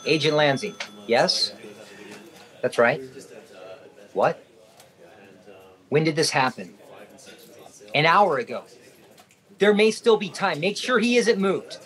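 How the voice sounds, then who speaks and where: A determined secret agent in a radio drama. His fairly high voice is animated and urgent, with clipped, purposeful delivery. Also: slow, pauses often, background noise. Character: eager, determined male government secret agent. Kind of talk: comedic radio play acting.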